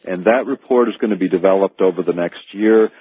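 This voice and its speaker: An American man speaking as an author guest in a radio interview, explaining earnestly and haltingly, repeating words as he goes. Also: low-pitched, breathy edge. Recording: narrow phone-like archive radio audio.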